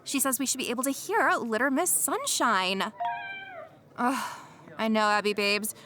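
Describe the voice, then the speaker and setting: An actress playing a snarky young social media influencer in a comedy audio drama, mocking and slangy. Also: female voice, fairly high pitch, normal speed, bright, forceful tone. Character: snarky young female influencer obsessed with livestreaming.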